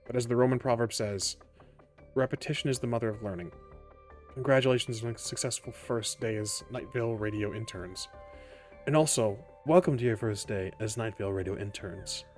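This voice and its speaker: A man voicing a brisk community radio broadcaster briefing new interns in a tabletop roleplaying game. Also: on the low side, a little mellow, faint music behind, lightly acted. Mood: formal, welcoming. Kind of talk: scripted in-game narration.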